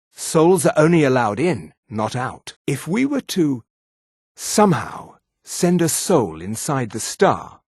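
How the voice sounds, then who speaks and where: An actor playing an arrogant, disdainful high elf mage in a video game, with an imperious, theatrical delivery and a raspy voice. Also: male voice, no echo, studio quality. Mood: grim, measured. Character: haughty high elf mage, arrogant adult male supremacist. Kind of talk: video game character voice lines.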